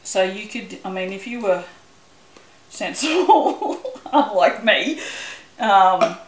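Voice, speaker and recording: A woman's voice: mid-range voice, lively intonation, slow pace, roomy sound, slight background noise. An Australian woman chatting casually as she works on a craft video, rambling a little and laughing often. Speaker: soap-making channel host. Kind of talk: casual chat while working.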